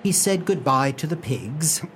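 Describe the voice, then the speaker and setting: A man's voice: a little high, expressive, unhurried, a bit bright. A man narrating a radio play as a scripted storyteller. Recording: noticeable background noise.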